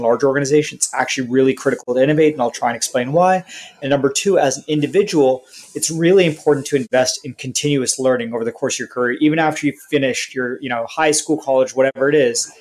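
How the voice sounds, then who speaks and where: A man's voice: medium pitch, a little mellow. A man who grew up in India and Canada, with Hindi as his first language, giving articulate, structured answers as an interview guest. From India, raised in Canada. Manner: articulate, structured, lists points. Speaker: guest, software company CEO.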